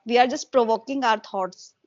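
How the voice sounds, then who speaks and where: A woman's voice: on the high side, moderate pace, dry recording, lo-fi sound. A woman hosting a casual English conversation practice, speaking fluently and reflectively with fillers like "you know". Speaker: host, English conversation partner.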